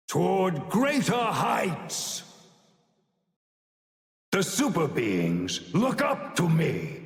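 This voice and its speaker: A man's voice: fairly high pitch, heavily acted. A man playing an ancient, imperious sage with divine power in a video game, speaking in stern, solemn, grandiose proclamations. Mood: triumphant, commanding. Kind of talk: theatrical game voice lines. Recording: long cavernous reverb on the voice.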